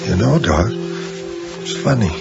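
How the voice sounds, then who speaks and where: Radio drama: confused middle-aged accident patient, slow and halting, obsessive, muffled broadcast under strong music. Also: male voice, on the low side, expressive, gravelly, exaggerated voice. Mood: dazed musing. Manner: hesitant, halting, musing. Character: dazed male accident patient with a strange obsessive story. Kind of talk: 1980s radio drama acting. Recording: dull 1980s radio broadcast, loud music.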